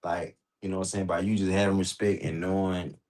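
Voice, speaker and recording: Low-pitched male, American accent, animated casual rant, heavy swearing, repetitive emphasis. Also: brisk. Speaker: host reacting on a livestream. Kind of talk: casual rambling livestream talk.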